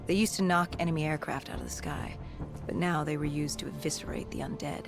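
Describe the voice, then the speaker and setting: Gravelly, grim battle-worn soldier, voiced by an actor. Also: mid-range voice, steady tone, a little fast, over music, natural voice. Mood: tense, matter-of-fact. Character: grim battle-worn cybernetic soldier recounting humanity's fall. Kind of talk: scripted dramatic game narration.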